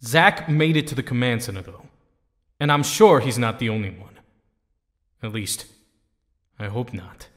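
An actor playing a serious, reassuring young male captive hero in an audio drama, speaking in a measured way. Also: medium pitch, a bit bright, natural voice. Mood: grave, suspicious. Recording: long hall-like reverb.

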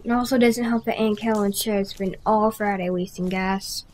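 A woman's voice: mid-range voice, fairly even intonation, normal speed, darkish tone, slight background noise, lightly acted. A woman playing a bratty, foul-mouthed, taunting teenage girl in an audio drama.

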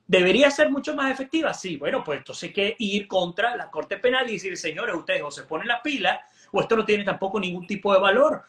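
A man in his forties speaks in a high-pitched voice as a political analyst. His talk is emphatic and insistent, with frequent restarts and repeated words, heard through a webcam on a video call from home. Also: fairly bright tone. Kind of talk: animated political commentary. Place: home room indoors.

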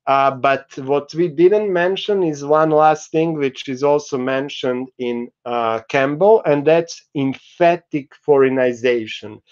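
A middle-aged man delivers a university lecture at an unhurried pace, pausing on fillers as he explains. His voice is smooth and clear, though the video call audio sounds dull and lo-fi. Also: mid-range voice. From Croatia. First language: Croatian. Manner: slow, explanatory, with frequent fillers. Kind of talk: recorded online lecture. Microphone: video call webcam.

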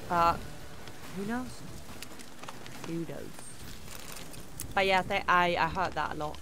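A woman's voice: on the low side, slow pace, background noise. A young German-born woman with a British-tinged English accent, chatting casually with viewers while streaming a game from home. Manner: chatty, reads viewer comments aloud. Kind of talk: casual live-stream chat while gaming. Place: room at home, indoors. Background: game music and sound effects.

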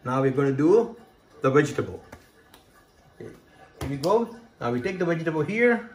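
A man's voice: a little high, big pitch swings, normal speed, slight background noise. Chatty man from Hong Kong cooking at home. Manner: chatty, explains step by step, many "okay" and "right" check-ins. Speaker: cooking show host. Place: home kitchen, indoors. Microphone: camera close by, handheld.

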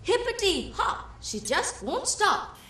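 A woman, teaching children's rhymes slowly and with exaggerated expression. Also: very high voice. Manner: slow, animated, exaggerated for children. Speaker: English teacher. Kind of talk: children's TV lesson, reciting rhymes. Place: indoor TV studio set. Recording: roomy studio, mic at a distance.

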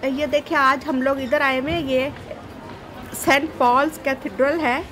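A woman's voice: sits high, steady tone, unhurried, fairly bright tone. A woman vlogging outdoors as she walks through a busy city square, chatting to viewers like a tour guide while filming on a handheld phone. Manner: chatty tour-guide commentary, calls to her child. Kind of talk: casual vlog narration while walking. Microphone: handheld phone camera. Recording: busy crowd and music behind.

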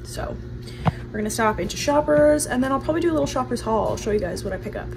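A woman's voice: sits high, lively intonation, fast, gravelly, over loud music. A young woman vlogging casually at home, chatty and candid, laughing often and filling her talk with "like". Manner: chatty, giggly and candid, with frequent laughs and filler "like". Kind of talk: casual vlog chat. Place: home, with laundry running.

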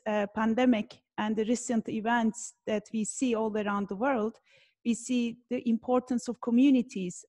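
Bright-pitched woman from Turkey. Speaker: business designer, moderator. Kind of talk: video call podcast introduction. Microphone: video call.

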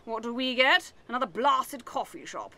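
A woman's voice: fairly high pitch, expressive, normal speed, bright, forceful tone. A woman playing a nosy, opinionated, chatty neighbour in a radio comedy play, theatrical and fussy. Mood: indignant.

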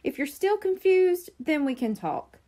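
A woman's voice: sits high, lively intonation, a little slow, dark tone, voice pushed up. A woman voicing a brainy, condescending young boy in a storybook, explaining things precisely and patiently. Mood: patient lecturing. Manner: precise, explanatory, know-it-all.

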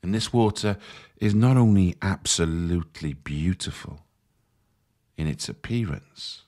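A man leading a guided meditation in a soothing, measured, instructive manner. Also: low voice, lively intonation, darkish tone.

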